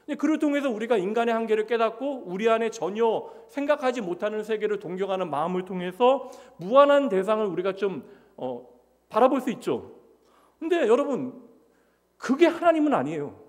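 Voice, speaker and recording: A man's voice: high voice, very animated, a little fast, a little mellow. A Korean man in his forties preaching a sermon to his congregation in a church, speaking conversationally and putting rhetorical questions to the listeners. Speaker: pastor. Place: church sanctuary. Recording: long church reverb.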